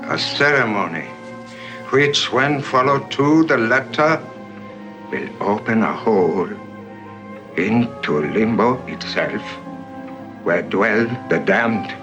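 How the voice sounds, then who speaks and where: Slow, solemn older man. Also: lowish voice, lively intonation, dull audio. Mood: solemn, ominous. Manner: solemn, measured, portentous. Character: grave older German man explaining an ancient prophecy. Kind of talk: dramatic film dialogue. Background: music.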